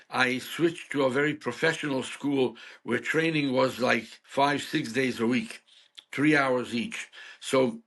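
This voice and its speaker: Man in his seventies, gravelly, middle pitch, candid interview guest. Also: a bit bright. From Hungary. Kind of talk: storytelling interview.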